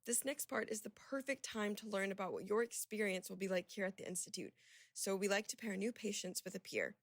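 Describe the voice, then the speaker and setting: An actress playing a friendly, reassuring clinic staffer in a radio drama, speaking in a polished, welcoming way. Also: female voice, mid-range voice, a little fast, natural voice. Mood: welcoming, upbeat. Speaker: staff member welcoming a patient. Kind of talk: radio drama acting, conversational.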